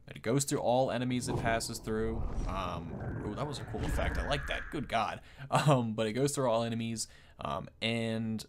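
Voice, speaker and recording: A man's voice: on the low side, slight background noise. A man hosting a gaming video, explaining things in a casual, unscripted, rambling conversational way. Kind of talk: casual unscripted game commentary.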